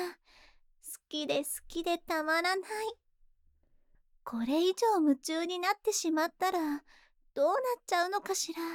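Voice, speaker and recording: Woman as a slow, high-voiced lovesick spider woman. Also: no room sound, studio quality. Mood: intimate longing. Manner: slow, intimate, doting feminine speech. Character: lovesick female arachne spider-monster, tender, obsessively devoted. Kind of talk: Japanese ASMR situation voice drama.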